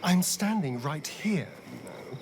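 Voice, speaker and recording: An actor playing a sardonic, haunted vampire spawn in a video game, with an arch, dramatic, drawling delivery. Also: male voice, a little high, animated, measured pace, noisy background. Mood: wry, indignant. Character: flamboyant, sardonic male vampire spawn, haunted by his master. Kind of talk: theatrical video game voice acting. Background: background music.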